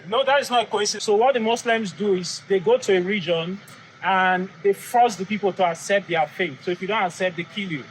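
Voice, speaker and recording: A young man with a strong Nigerian accent speaks with firm confidence, explaining history to a questioner. His voice sits fairly high, and faint outdoor ambience runs underneath. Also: slight background noise, rough recording. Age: young adult. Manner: firm, assertive, quick to agree and build his point. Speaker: college student in a campus debate. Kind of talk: explaining history in a public debate.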